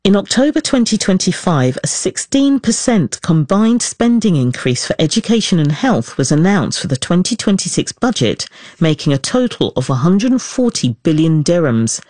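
A man hosting a documentary podcast, reading scripted narration in a clear, emphatic way. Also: high-pitched, very animated, normal speed, darkish tone, no echo.

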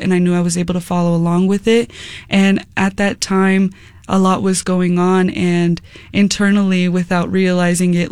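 A young American singer speaks in a soft, easygoing way, often pausing on fillers and laughing a little. Her voice sits at a middle pitch. The sound is close and dry, with no room around it. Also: smooth, no room sound. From United States. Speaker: musician guest. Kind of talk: casual interview.

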